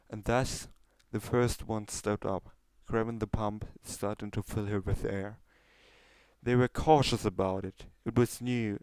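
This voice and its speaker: A man's voice: fairly low pitch, darkish tone, clear, resonant tone, no room sound, rough recording. A man reading a scripted story aloud as its narrator. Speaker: story reader.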